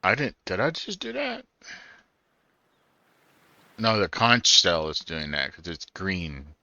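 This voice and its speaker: A man's voice: low voice, huge pitch swings, slow pace, creaky, bright, forceful tone. A man giving casual first-time video game commentary and reading prompts aloud, over game music on a dull microphone. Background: faint game music.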